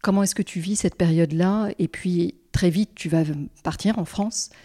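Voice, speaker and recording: A woman hosting a podcast, asking questions in a calm, measured way. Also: on the low side. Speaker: podcast host. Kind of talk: interview questions.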